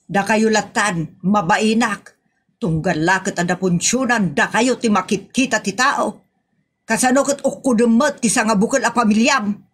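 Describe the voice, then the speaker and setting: A woman's voice: medium pitch, moderate pace, husky, close to an everyday voice. A woman with an Ilocano accent playing an exasperated, easily embarrassed wife and mother who scolds in a comedy audio drama. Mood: embarrassed, scolding.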